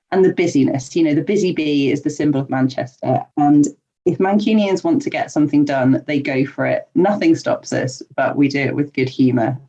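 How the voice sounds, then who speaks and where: A woman's voice: deep voice, warm tone. A woman being interviewed on a podcast as a guest, answering in an earnest, chatty way with ums and light laughs. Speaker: podcast guest, life coach. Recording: dull lo-fi remote audio.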